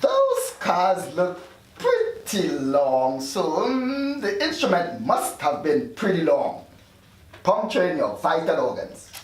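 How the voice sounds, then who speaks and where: Male actor as evasive doctor in his thirties, very animated pitch, drama table read, echoey room. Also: takes it slowly, full character voice. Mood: matter-of-fact. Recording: very echoey room, distant mic.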